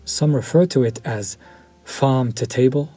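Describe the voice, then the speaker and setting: Vampire chef, male, courtly and menacing, voiced by a man; breathy mid-pitched delivery, unhurried. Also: faint music behind, lightly acted. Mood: pleased. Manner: slow, formal and soft-spoken, dropping to near-whispers. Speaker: voice actor. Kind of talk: audio roleplay to the listener.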